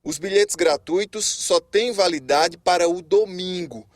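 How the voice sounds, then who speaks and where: A man reporting for a TV news segment, with a crisp, measured delivery. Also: sits high, a crowd in the background.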